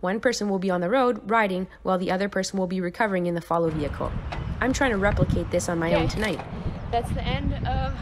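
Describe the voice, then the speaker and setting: A woman in her thirties talking casually and matter-of-factly to her camera as a cyclist vlogging her training, upbeat and chatty. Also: fast talker. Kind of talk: casual first-person vlog. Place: outdoors on a racetrack and roadside. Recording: noisy outdoor action-camera audio, vehicle-like rumble.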